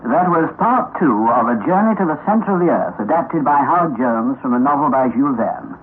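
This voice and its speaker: A man announces the credits in a measured, formal radio manner. His voice sits in a middle pitch. It reaches us thin and phone-like, with a faint hiss. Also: lively intonation. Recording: tinny old radio broadcast, faint hiss.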